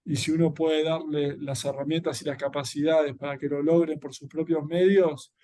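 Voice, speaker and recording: A man's voice: on the high side, level tone, normal speed, warm tone. An Argentine man speaking earnestly and reflectively as an interview guest, explaining his work. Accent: Argentine Spanish. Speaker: interview guest, social entrepreneur and educator.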